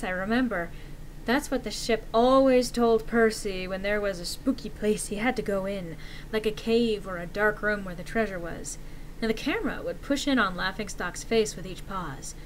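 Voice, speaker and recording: A woman voicing an excitable forum poster reminiscing about an eerie show, animated and emphatic in a dramatic reading. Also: mid-range voice, moderate pace, a little hiss or hum, lightly acted, rough recording. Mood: uneasy recall.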